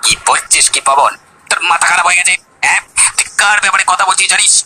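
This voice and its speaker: A man playing a scornful, bullying village man who threatens and scolds in an animated story. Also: high voice, fast talker, exaggerated voice. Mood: angry, scornful. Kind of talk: Bengali animated story dialogue.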